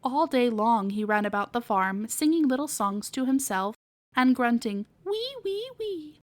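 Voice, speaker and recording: A woman's voice: on the high side, moderate pace. A woman narrating a children's storybook aloud as a podcast storyteller.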